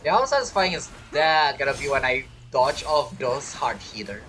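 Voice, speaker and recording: A man's voice: medium pitch, big pitch swings, normal speed, fairly bright tone, a little hiss or hum. A man giving casual live gameplay commentary, talking as a gaming streamer. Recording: game music behind the voice. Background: video game music.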